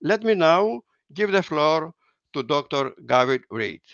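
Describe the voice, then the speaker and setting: A man's voice: fairly high pitch, sing-song, unhurried, fairly bright tone, no echo. An older man hosting a webinar, briefly and formally introducing the guest speaker over a video call headset. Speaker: webinar host. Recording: dull lo-fi call audio.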